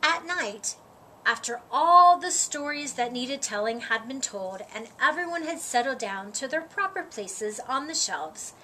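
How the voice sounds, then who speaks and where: A woman with a high voice narrates a children's storybook. She takes it slowly, shaping phrases with expressive, deliberate care. There is audible noise in the recording. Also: low-quality recording. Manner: deliberate, dramatic phrasing with drawn-out words. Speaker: storyteller reading a children's picture book.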